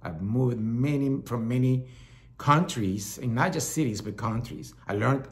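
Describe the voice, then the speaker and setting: A man's voice: mid-range voice, unhurried. A middle-aged man from El Salvador with a Salvadoran Spanish accent, hosting a talk show in a casual, rambling monologue that often repeats words. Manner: rambling, repeats words, acts out quoted voices.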